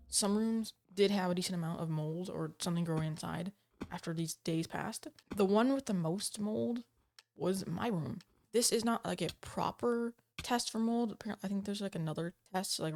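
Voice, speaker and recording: A woman's voice: lowish voice, a little mellow. A woman talking casually to camera from home, rambling and hesitant with many fillers and restarts, her voice a little off from being sick. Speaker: gaming channel host. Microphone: desk microphone.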